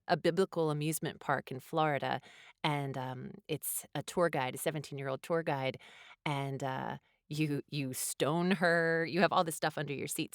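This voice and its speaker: Low-voiced woman, dry close sound. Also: dry recording. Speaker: playwright, interview guest. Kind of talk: casual interview chat.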